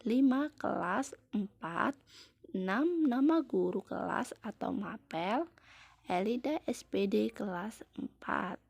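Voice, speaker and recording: A woman's voice: medium pitch, animated, slow pace, creaky, a little mellow, breathy edge, no echo. A young woman reads a research presentation aloud in a formal, recited manner, as a university student presenter. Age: young adult.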